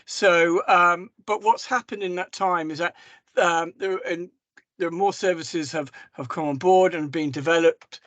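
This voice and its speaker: Hoarse man on a video call. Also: high-pitched, steady tone, a little slow, fairly bright tone, no room sound. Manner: hesitant, many ums and restarts. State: hoarse from a cough. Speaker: mental health service manager. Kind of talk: presenting slides in a webinar.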